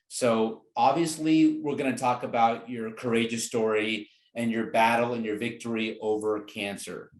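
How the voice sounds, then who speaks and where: A man's voice: medium pitch, steady tone, normal speed, few pauses. A man hosting an interview podcast, speaking conversationally in an earnest, encouraging manner. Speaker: podcast host. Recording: roomy, echoing sound.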